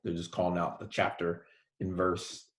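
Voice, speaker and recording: A male college professor giving a relaxed, soft-spoken lecture online, with many fillers. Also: sits low, normal speed, roomy sound.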